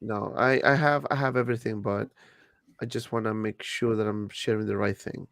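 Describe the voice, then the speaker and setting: A man's voice: fairly low pitch, fairly even intonation, normal speed, gravelly, a bit bright, no room sound, clean studio sound. A man hosting a casual livestream, laughing often as he reads out viewer super chats. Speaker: livestream host.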